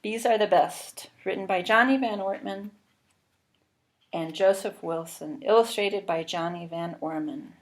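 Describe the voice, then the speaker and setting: A woman reading a children's storybook aloud, expressive and deliberate, pausing between phrases and adding short asides. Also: mid-range voice. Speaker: storybook reader. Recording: clearly noisy recording with clicks.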